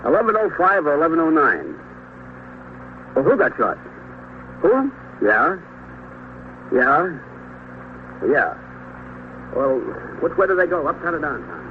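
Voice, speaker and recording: An actor playing an urgent police desk sergeant in a 1950s radio drama, clipped and questioning as if taking a call. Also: male voice, fairly high pitch, big pitch swings, measured pace, long pauses. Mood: urgent, brisk. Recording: tinny, slightly noisy old radio recording.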